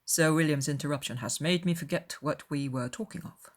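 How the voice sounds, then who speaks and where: A proud young gentleman of a period novel, played by a woman. The speech is formal, measured and held back, in a lowish voice suited to a dramatized audiobook. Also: lightly acted. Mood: stiff, guarded.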